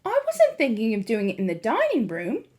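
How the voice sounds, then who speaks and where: A woman's voice: fairly high pitch, wildly swooping pitch, normal speed, a little mellow. A woman voicing a small, earnest boy turned mouse in a storybook, eager and matter-of-fact. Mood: eager, confident.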